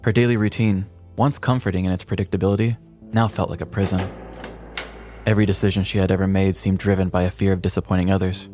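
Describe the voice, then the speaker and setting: A man's voice: low-pitched, little pitch movement, fast talker, darkish tone, faint music behind. A man narrating a scripted comedic story for a podcast in a mock-dramatic way.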